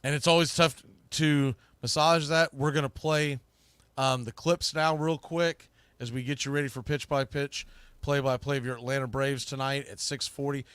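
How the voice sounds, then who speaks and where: A man's voice: mid-range voice, steady tone, moderate pace, a bit bright, clear, resonant tone, dry recording. A man hosting a sports podcast, giving emphatic, opinionated analysis and repeating phrases for stress. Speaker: sports podcast host, play-by-play broadcaster.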